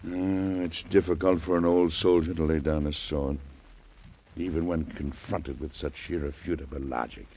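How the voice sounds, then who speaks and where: An actor playing a stubborn, combative older male president in an old radio drama, with a husky voice and blunt manner. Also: a bass voice, steady tone, moderate pace, heavily acted. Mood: resigned. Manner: defiant, blunt. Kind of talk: 1940s radio acting. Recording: dull lo-fi old radio recording.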